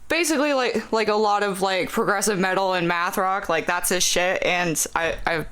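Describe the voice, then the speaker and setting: A man's voice: high voice, moderate pace, bright, forceful tone, clean tone. A man chatting as a podcast guest about music, rambling with many fillers and frequent laughs. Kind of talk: casual podcast chat.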